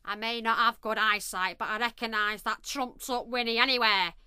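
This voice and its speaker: Sharp, huffy old ewe, played by a woman. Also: fairly high pitch, fairly even intonation, moderate pace, clean tone, no room sound, clean studio sound. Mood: outraged. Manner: huffy, homely, bleating. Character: indignant older female sheep, pious religious author. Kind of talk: comedy radio play acting.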